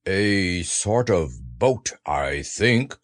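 Low, slow, bumbling storybook bear. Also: male voice, expressive, no room sound, studio quality, full character voice. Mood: earnest, muddled. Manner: bumbling, hesitant, good-natured. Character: friendly, slow-witted, earnest male toy bear.

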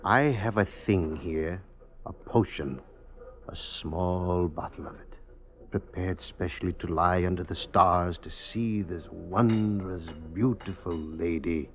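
A man's voice: low-pitched, slow. A man playing a scheming, coaxing stonemason with folksy old-country speech in an old radio drama. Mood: wistful, hushed. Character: scheming male stonemason, sly, coaxing poisoner. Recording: muffled, noisy vintage radio recording.

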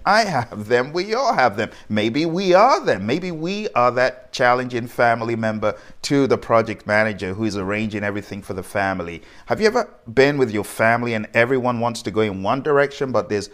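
A man giving an informal lecture as a project management instructor, speaking directly to the listener with rhetorical questions and point-by-point emphasis. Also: medium pitch.

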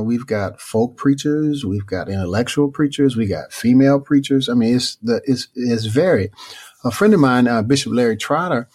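A man, a preacher and author, answering interview questions in a reflective, unhurried way with many fillers and restarts; his voice is neither booming nor deep. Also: a little mellow.

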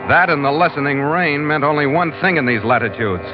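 An actor playing a terse, seasoned American ship's master, narrating and acting in a hardboiled 1940s radio adventure style. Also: male voice, fairly high pitch, expressive, fairly quick, lightly acted. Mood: brooding, matter-of-fact. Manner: terse, wry, matter-of-fact. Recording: muffled vintage radio, music underneath.

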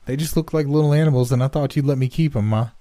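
Frightened young boy, babbling pleas, high voice, louder than the narration, a man reading it. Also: no room sound, close to an everyday voice. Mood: frantic pleading. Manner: rushed, breathless pleading.

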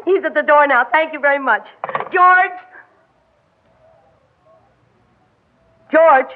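Woman doing scared pleading wife, really high voice, old radio drama, thin lo-fi sound. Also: normal speed, slight background noise, natural voice. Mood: anxious, pleading, then panicked. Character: frightened married woman, anxious, pleading and defensive. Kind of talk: vintage radio drama acting. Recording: band-limited old radio broadcast recording.

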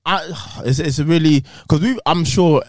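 A man's voice: a little high, moderate pace, no room sound, studio quality. A man chatting bluntly on a podcast, swearing casually and speaking frankly. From England. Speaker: podcast co-host. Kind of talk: casual chat.

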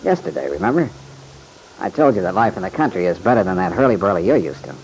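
Low-voiced, bashful country man, vintage radio. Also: very animated, fast, darkish tone. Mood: gently probing. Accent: American. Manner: folksy, slightly bashful, hesitant. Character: easygoing, modest young country man, war veteran who gardens. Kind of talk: old-time radio drama acting. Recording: noisy vintage radio recording.

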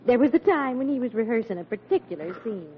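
Actress as amused sitcom mother, animated and slightly high, chatty storyteller, muffled vintage radio sound. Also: quick pace, slight background noise, natural voice. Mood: amused, fond. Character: fond, amused mother telling a family story. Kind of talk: 1940s radio comedy acting. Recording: muffled old radio recording, audience.

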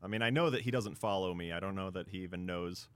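A man's voice: low-pitched, quick pace, clean tone, no echo. A man co-hosting casual sports talk radio in an easygoing manner.

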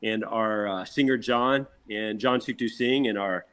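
A man's voice: on the low side, unhurried, a bit bright, smooth. A man talking casually in an interview as a rock band member, telling things in an emphatic, boastful, salesmanlike way.

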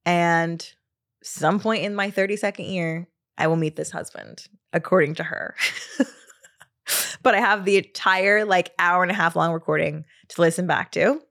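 A woman's voice: on the low side, fairly even intonation, normal speed, a bit bright. A woman, hosting her podcast in a casual solo chat, laughing often and trailing off with fillers. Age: 30s. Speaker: podcast host.

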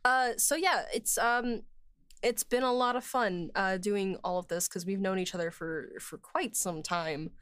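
A woman's voice: medium pitch, unhurried, fairly bright tone, clean tone, no echo, studio quality. A woman hosting a podcast in casual, unscripted chat, phrasing things informally and a little hesitantly. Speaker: podcast host and series writer.

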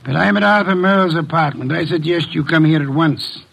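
A man playing a pompous, fussy, indolent master detective in an old radio drama, speaking in formal, deliberate phrases. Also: fairly high pitch, vocal fry, fairly bright tone, slightly rough, heavily acted, poor audio. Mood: dry, superior.

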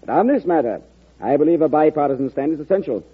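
Stubborn formal politician, old radio play, talks fast, tinny narrow sound. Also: male voice, fairly high pitch, animated, slightly rough. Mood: firm resolve. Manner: declarative, formal, unbending. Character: self-assured, stubborn politician, coolly defying his party. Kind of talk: 1940s radio drama acting.

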